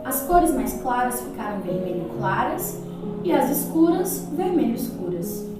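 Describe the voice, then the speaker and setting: A woman's voice: fairly high pitch, very animated, measured pace. A Brazilian woman reading scripted story narration for an amateur radio drama. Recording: very echoey, distant, loud music behind.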